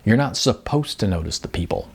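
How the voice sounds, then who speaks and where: Man doing humble forty-something everyman in a storybook, um-filled, creaky, bouncy intonation. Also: lowish voice, expressive, brisk, exaggerated voice. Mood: wistful, modest. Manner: hesitant, folksy, self-deprecating, many ums. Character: modest, good-natured balding 40-something male commercial model. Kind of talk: audiobook character dialogue.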